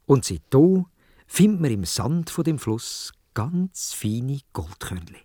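A man with a Swiss German accent telling a children's story in a dramatic, expressive way, speaking directly to young listeners. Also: mid-range voice, takes it slowly, no room sound, clean studio sound. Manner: dramatic, addresses young listeners with questions and exclamations.

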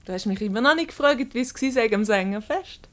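An actress plays a scheming, teasing wife with a Swiss German accent in a radio comedy. Her voice sits fairly high and swings widely in pitch. She needles with an air of perfect innocence. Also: lively intonation, brisk, lightly acted. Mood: teasing, amused.

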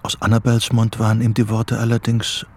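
An actor as the sober narrator of a psychological thriller, reading scripted radio drama narration. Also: male voice, fairly low pitch, completely flat delivery, fairly quick, a little mellow.

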